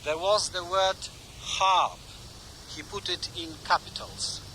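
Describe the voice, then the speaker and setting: A terse translator in a radio spy play. His voice is high for a man and sharp, with a resentful edge, over light background noise. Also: animated, slow, heavily acted, rough recording. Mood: matter-of-fact. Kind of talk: radio drama acting.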